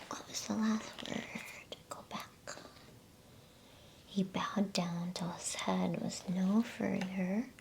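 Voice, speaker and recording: A woman narrates a storybook in ASMR style. Her voice is whispered and lowish, with a crackly edge, and she takes her time over each phrase. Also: creaky. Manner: soft, hushed, unhurried. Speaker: ASMR bedtime story reader.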